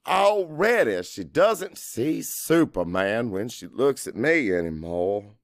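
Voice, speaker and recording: A man's voice: medium pitch, sing-song, slow, dry recording, clean studio sound. A man playing a middle-aged Cajun father, anxious and guarded, narrating his own story in a brooding, conversational audiobook style. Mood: brooding, wry. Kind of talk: first-person dramatic audiobook narration.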